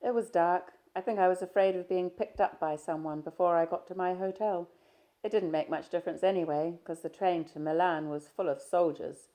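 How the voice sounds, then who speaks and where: A woman voicing a shy, reserved young woman traveller in an audiobook, with soft, hesitant replies. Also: on the low side, fairly even intonation, normal speed, pitched above the performer's own voice, lightly acted. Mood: wistful.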